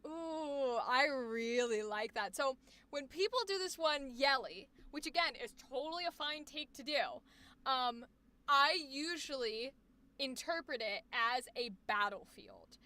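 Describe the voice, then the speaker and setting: A woman coaching voice actors on a live stream, reacting with effusive exclamations and quick asides. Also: high voice, lively intonation, sharp tone, smooth. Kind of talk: live stream commentary on student recordings.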